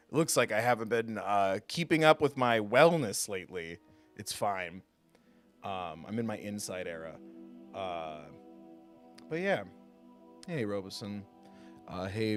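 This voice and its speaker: A man hosting a video game livestream, chatting casually and haltingly with viewers in a self-deprecating, joking way. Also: lowish voice. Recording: music behind the voice. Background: music.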